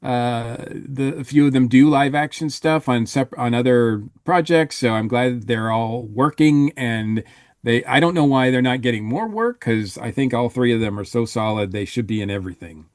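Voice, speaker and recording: A man on a podcast panel in casual review chat, talking haltingly with trailing asides. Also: medium pitch, no echo, clean studio sound.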